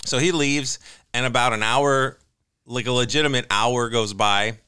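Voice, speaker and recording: Bright-voiced American man telling casual stories. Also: mid-range voice, steady tone, moderate pace, clean tone. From Fresno, California area. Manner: informal, storytelling. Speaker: talk show co-host. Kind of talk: casual chat, animated anecdotes.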